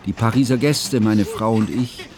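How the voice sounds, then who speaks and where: A man acting as an educated, observant narrator in a radio play, speaking in formal, ornate and deliberate phrases. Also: on the low side, darkish tone, slight background noise, close to an everyday voice. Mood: measured, observant. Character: educated male first-person narrator, measured, observant friend.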